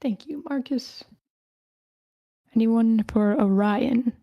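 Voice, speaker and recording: Woman, event host, soft mellow tone, casual, thinking aloud, very dry sound. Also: on the high side, slow, dry recording, lo-fi sound. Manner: informal, chatty, thinking aloud. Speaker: host of an online script table read.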